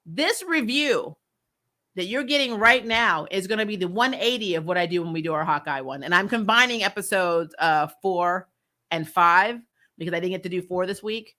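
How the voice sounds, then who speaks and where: A woman's voice: on the low side, expressive, normal speed, sharp tone. A woman hosting a casual, opinionated live review, talking in a blunt, exasperated, sweary way and laughing as she rants. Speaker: host of a TV review show.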